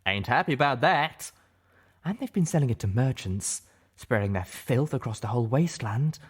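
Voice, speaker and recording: A man's voice: mid-range voice, expressive, moderate pace, slightly rough, voice pushed up. A man voicing a fierce, righteous female unicorn in an audiobook, outraged and emphatic as if condemning.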